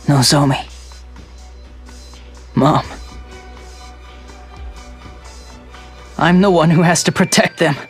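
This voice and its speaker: A woman's voice: low voice, measured pace. A woman voicing an earnest, protective, boyish teenage big brother with some hesitancy in a video game. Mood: troubled resolve. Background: faint music.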